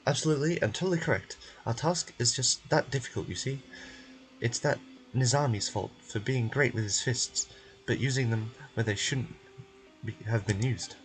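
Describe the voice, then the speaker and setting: A man's voice: medium pitch, normal speed, soft background music, close to an everyday voice, rough recording. Man playing a formal, wordy scholar. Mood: matter-of-fact.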